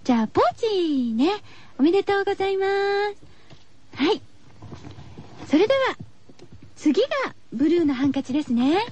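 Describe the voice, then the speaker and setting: A woman's voice: extremely high pitch, very animated, normal speed, long pauses, a little mellow, slight background noise. A woman hosting a radio show, chatting casually to her listeners in a cutesy, girlish way. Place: radio studio. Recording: slightly dull, lo-fi radio recording.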